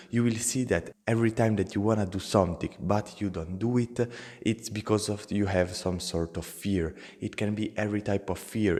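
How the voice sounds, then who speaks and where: A man's voice: on the low side, a little mellow, big-room sound. A young man with an Italian accent, talking earnestly to camera as a motivational channel host, in simple non-native phrasing.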